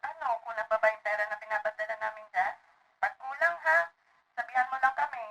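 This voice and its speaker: A woman's voice: on the high side, fast talker, creaky, sharp tone. A woman with a Filipino accent playing a caring, fretful provincial mother on a phone call in a radio drama. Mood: caring, apologetic. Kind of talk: amateur radio drama acting. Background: music.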